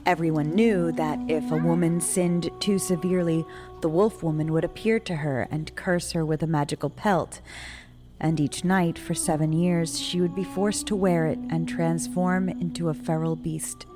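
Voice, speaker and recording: A woman narrating a scripted dark folk tale as a storyteller, in a measured, solemn and dramatic way. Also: low voice, fairly even intonation, music in the background. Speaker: storyteller, guest writer reading own story.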